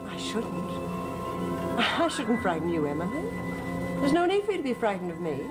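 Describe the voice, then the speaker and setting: A woman's voice: a little high, huge pitch swings, moderate pace. An actress in a gothic soap opera playing a sinister woman who feigns devotion in an insinuating, theatrical way. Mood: sweetly sinister. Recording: loud dramatic music under the dialogue.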